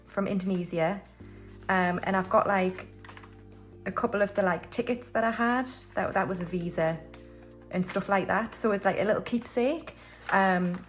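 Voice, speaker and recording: A British woman in her forties, chatting casually and rambling a little as she films a budgeting vlog. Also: medium pitch. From United Kingdom. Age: 40s. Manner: chatty and rambling, with frequent restarts and fillers. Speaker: budgeting vlogger. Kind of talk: casual chat while stuffing cash envelopes.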